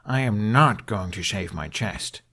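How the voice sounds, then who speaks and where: A man's voice: fairly low pitch, unhurried, creaky, pushed and bright, heavily acted. A man voicing a grumpy, easily embarrassed young man in an audiobook, grumbling and forbidding in manner. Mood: exasperated.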